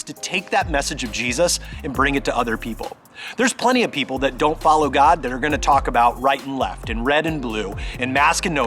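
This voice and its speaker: A man giving a conversational sermon as a pastor, earnest and emphatic, speaking directly to the listener and pausing deliberately for stress. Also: on the high side, fairly bright tone. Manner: exhorting, addressing the listener directly.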